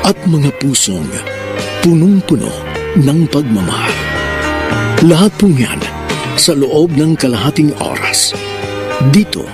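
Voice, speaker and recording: A man's voice: on the high side, sing-song, slow, long pauses. A man with a Filipino accent hosting a radio drama in a scripted, theatrical introducing style. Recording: music bed under the voice.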